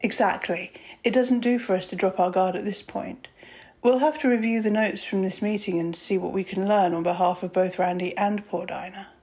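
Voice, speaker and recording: A woman acts out a composed woman doctor in an audio drama. Her voice sits at a middle pitch, and her phrasing is proper and measured. She comes across as caring, with a wry, teasing edge. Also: fairly even intonation, a little mellow, lightly acted.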